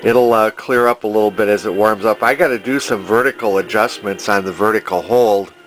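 A man's voice: fairly low pitch, fairly quick, fairly bright tone, faint music behind, poor audio. A man narrating a casual repair vlog as a vintage television restorer, thinking aloud in a hesitant, rambling way in his home workshop.

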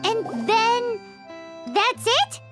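A woman voicing a tiny, excitable childlike fairy girl in an anime video game, squeaky and cheeky, given to exclamations. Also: drawn-out words, exaggerated voice. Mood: curious. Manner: exclamatory, cheeky, refers to herself in third person. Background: game music.

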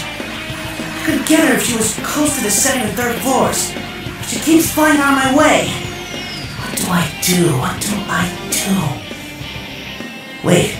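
A man's voice: very high voice, very echoey, far from the mic, rough recording. A man playing a quippy, panicky young hero. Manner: sarcastic, excitable. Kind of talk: fan audio drama acting. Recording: music score behind.

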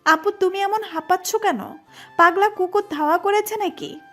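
A very high, girlish voice acted by a woman plays a college-age younger cousin in an audio story. She teases and chides with a whiny edge, over faint music. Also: normal speed, a bit bright. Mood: teasing, curious. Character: sleepy, chiding teenage younger girl cousin, a college student.

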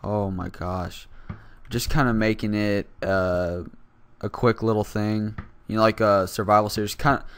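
A teenage boy hosting casual gameplay commentary, raspy from just waking up, rambling and self-deprecating with lots of fillers. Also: on the low side, fairly even intonation, a little slow. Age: teens. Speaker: gaming channel host.

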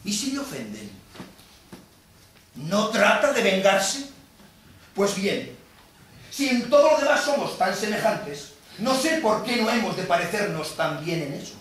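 A man's voice: high-pitched, sing-song, measured pace, long pauses, fairly bright tone, exaggerated voice. A male stage actor playing a bitter, vengeful Venetian moneylender in a declamatory theatrical monologue, aggrieved and defiant. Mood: wounded, indignant. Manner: declamatory, rhetorical questioning. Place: theatre stage, recorded from a distance. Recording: echoey theatre, distant mic, noisy.

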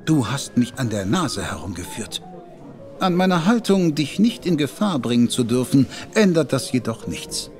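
An actor playing a proud, protective detective who speaks emphatically and with indignation. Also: male voice, medium pitch, very animated, normal speed, a little mellow, soft background music, lightly acted. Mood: indignant, lively. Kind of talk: German audio drama acting. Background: faint background score.

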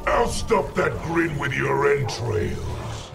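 A brutal video game warlord, imperious and menacing. The delivery is slow and gravelly, with big swings in pitch, and music plays behind it. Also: male voice, wildly swooping pitch, recorded from a distance, heavily acted. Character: brutal, arrogant male warlord emperor. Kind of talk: fighting game dialogue.

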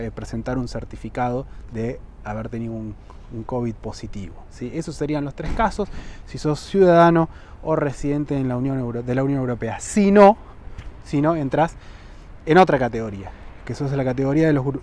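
A man in his thirties with a Rioplatense Spanish accent, vlogging outdoors on a lapel mic. He explains travel rules to the camera and keeps checking in with "¿sí?". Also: medium pitch. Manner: checks in with frequent "¿sí?" tags, lively emphasis. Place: outdoors on a lawn by buildings, sunny.

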